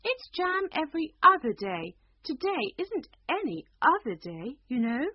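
A woman voicing a flustered, dithering but kindly queen in a storybook reading, with high, fluttery exclamations. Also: sing-song, unhurried, no echo. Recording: dull lo-fi recording.

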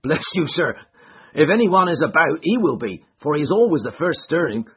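A man's voice: a little high, big pitch swings, fairly quick, breathy edge, voice pushed up, full character voice. A man voicing a nervous young male stable hand who speaks eagerly and deferentially, in a muffled recording.

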